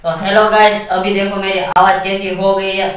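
A young man talks casually to camera in a thin, helium-altered voice. His intonation is quite flat. The sound is distant and very echoey. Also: high-pitched, moderate pace. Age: young adult. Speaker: video host. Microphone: camera mic a few steps away. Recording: very echoey room, off mic.

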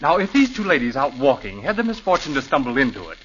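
A silky scheming murderer. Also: male voice, medium pitch, sing-song, fast, fairly bright tone. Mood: menacing. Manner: silky, menacing. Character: smooth scheming male murderer. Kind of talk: 1940s radio acting. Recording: dull old radio recording with faint hiss.

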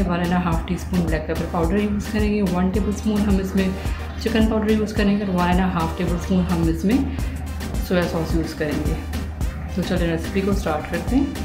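A woman narrates a home recipe for her cooking channel, moving steadily through each step. Her voice sits in the middle of the range. It rings in an echoey room, with music playing clearly underneath. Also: medium pitch. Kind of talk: recipe voice-over tutorial. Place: home kitchen.

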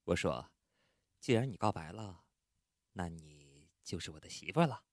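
A man's voice: deep voice, expressive, moderate pace, no room sound, clean studio sound. A man acting a blunt, impatient yet teasing young man in a Chinese audio drama. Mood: soft, teasing. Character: curt, teasing young man, secretly fond of his roommate.

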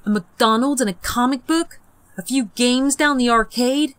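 Bratty seventh-grade boy, played by a female audiobook reader: mocking, brash, raised pitch, dry close sound. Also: measured pace, clean tone, no echo. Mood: sneering.

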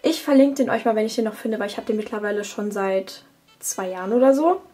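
Quick, breathy young woman vlogger. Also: mid-range voice, mellow. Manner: chatty, with fillers and self-corrections. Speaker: beauty vlogger. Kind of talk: casual tutorial chat. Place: desk at home.

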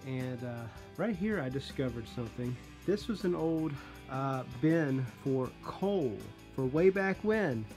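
A man's voice: medium pitch, animated, unhurried, few pauses, darkish tone, soft background music. A man who installs radon systems, walking through an old house cellar with a handheld phone and talking through the work in a folksy, joking way. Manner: folksy, joking, plain-spoken. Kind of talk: casual how-to walkthrough.

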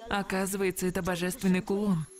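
A woman's voice: mid-range voice, flat delivery, fast talker, faint music behind, natural voice. Eager teenage boy hero, voiced by a woman. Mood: curious, eager. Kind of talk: dubbed animated fantasy dialogue.

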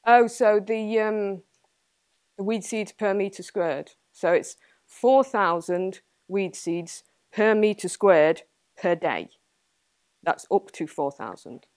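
A woman's voice: medium pitch, takes it slowly, darkish tone, dry recording. A young woman with an English accent answering audience questions after a talk, thinking aloud and hedging, laughing now and then. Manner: thoughtful, hedging, laughs often. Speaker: guest speaker, entomologist. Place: small indoor meeting room with audience.

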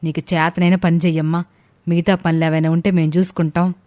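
A woman voicing an older mother-in-law in a family story, mildly giving household instructions in a measured way. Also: on the low side, little pitch movement, a little mellow, no room sound, close to an everyday voice. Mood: instructing.

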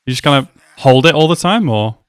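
A man's voice: mid-range voice, animated, brisk. A young man casually reacting to an anime episode, chatty and swearing a lot, calling the characters bro. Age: young adult. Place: small room at home. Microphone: headset mic.

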